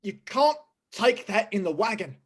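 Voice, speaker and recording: A woman playing a gruff, commanding police sergeant in a 1950s radio play, full of cop slang. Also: medium pitch, very animated, moderate pace. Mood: brisk, puzzled.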